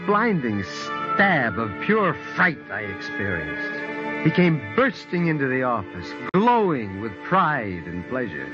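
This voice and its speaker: A man's voice: medium pitch, very animated. Anguished father in muffled old radio drama. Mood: brooding regret. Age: middle-aged. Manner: halting, confiding. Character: anguished, possessive middle-aged father, a girdle manufacturer confessing. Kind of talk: 1940s radio drama acting. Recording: muffled vintage radio recording with loud music.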